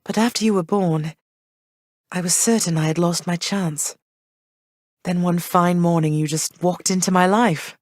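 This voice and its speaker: Soft motherly underworld queen goddess, lowish voice, gracious and reassuring, dry studio sound. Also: fairly even intonation, measured pace, no room sound. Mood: gentle. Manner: warm, gracious, softly reassuring. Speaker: voice actor. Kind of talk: video game voice lines.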